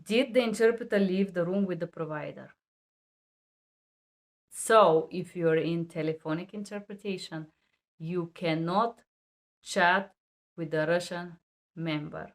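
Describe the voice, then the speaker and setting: Woman interpreter giving a lesson, lowish voice, blunt and emphatic, repeats the rules, non-native english. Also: measured pace, long pauses. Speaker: medical and legal interpreter. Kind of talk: instructional talk to camera.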